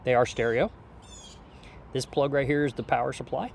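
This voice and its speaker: A man's voice: mid-range voice, moderate pace, vocal fry. A man giving a casual, explanatory hands-on product review in an informal way, speaking outdoors on a deck. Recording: outdoor background noise.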